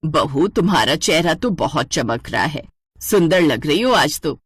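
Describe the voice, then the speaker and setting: Woman acting an older mother-in-law, lower pitched. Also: sing-song, unhurried, slightly rough, dry recording, studio quality, full character voice. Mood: admiring. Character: older mother-in-law, plain-spoken head of household.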